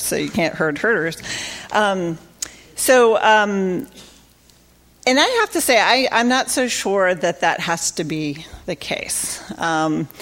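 A woman organizer giving a conversational conference talk about faith-based advocacy, with many fillers and restarts. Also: on the low side.

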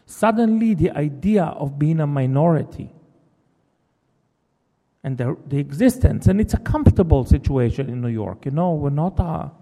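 Halting, thoughtful man speaking in a hall. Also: on the high side, lively intonation, warm tone. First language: Hebrew. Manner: thoughtful, halting, with many restarts. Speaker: novelist giving a talk. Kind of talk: reflective public talk. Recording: long reverb of a hall.

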